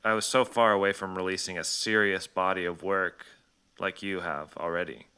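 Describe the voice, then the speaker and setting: A man interviewing someone in a casual, joking way, with a mock-serious manner and halting phrasing as he forms his questions. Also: deep voice, steady tone, sharp tone, clean tone.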